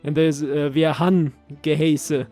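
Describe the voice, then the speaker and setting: Guy, slightly high voice, chatty commentary on pronunciation of game lines, faint music behind. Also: little pitch movement, takes it slowly, mellow. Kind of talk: casual chat, language commentary.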